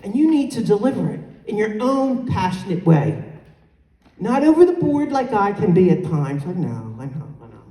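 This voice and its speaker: Loud animated woman in an echoey hall. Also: medium pitch, normal speed. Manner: loud, animated, moves the mic away to yell. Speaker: conference speaker. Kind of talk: motivational conference address. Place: large hotel conference hall. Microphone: handheld mic, filmed from far off. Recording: echoey hall, recorded from far off.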